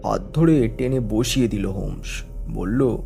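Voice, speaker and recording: An actor playing a detective's bemused male companion, recounting a case as expressive scripted audio drama narration. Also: lowish voice, unhurried, vocal fry, soft background music. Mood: suspenseful narration. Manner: expressive storytelling.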